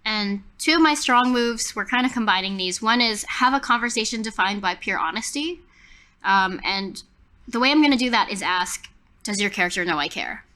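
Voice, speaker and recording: Woman, bright crisp-edged tone, casual improvised storytelling, tabletop game player. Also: fairly high pitch, lively intonation, normal speed.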